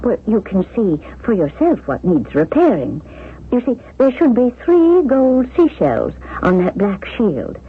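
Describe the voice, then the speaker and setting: An actress playing a genteel, chatty, curious widow in a 1970s radio drama. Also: female voice, mid-range voice, big pitch swings, a little slow, slightly rough, heavily acted. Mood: curious, puzzled. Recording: muffled, thin vintage radio recording.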